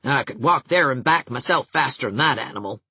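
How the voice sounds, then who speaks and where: Woman putting on a crabby, husky older countrywoman. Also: very deep voice, animated, fairly quick, dry recording, phone-quality sound, exaggerated voice. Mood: scornful. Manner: folksy, scolding. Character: blunt, crabby older countrywoman, easily affronted.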